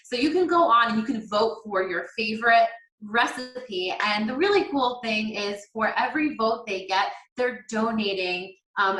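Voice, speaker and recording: A woman talks through a simple recipe in a chatty, upbeat, instructive way. Her voice is bright and forward. It has a noticeable echo from the room around her. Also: mid-range voice. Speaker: guest demonstrating a recipe. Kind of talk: cooking demo chat on a video call.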